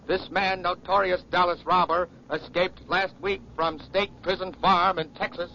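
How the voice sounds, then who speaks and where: A man's voice: high-pitched, little pitch movement, normal speed. A man as a crime bulletin announcer in 1940s radio style, urgent, clipped and telegraphic. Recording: noisy muffled vintage radio broadcast.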